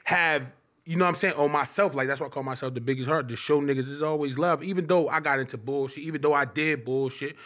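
Man in his late twenties comedian guest, highish voice, animated and rambling, casual interview chat. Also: fast. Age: 20s. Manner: animated, rambling, lots of filler phrases.

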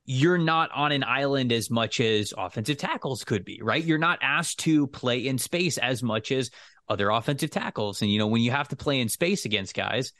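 A man's voice: mid-range voice, lively intonation, moderate pace, a bit bright, dry recording, studio quality. A man co-hosting a sports podcast, talking through football analysis casually and explaining his points with careful clarifications.

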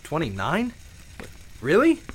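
A man playing a grieving widower and recovering alcoholic in a radio play, stammering and halting with emotion. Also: on the high side, wildly swooping pitch, a little hiss or hum, full character voice. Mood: stunned, awed.

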